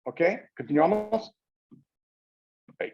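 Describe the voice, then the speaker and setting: A man speaking Spanish as a non-native speaker, giving a careful, deliberate seminar presentation over a video call with some hesitation. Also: sits high, expressive, a little hiss or hum. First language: not Spanish. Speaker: invited speaker. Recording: dull lo-fi online call audio.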